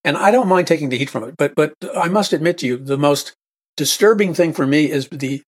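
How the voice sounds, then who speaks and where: A man hosting his own talk-radio show, with a husky, hoarse throat. He preaches in an emphatic, repetitive way and restates his points. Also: a little high, lively intonation, brisk.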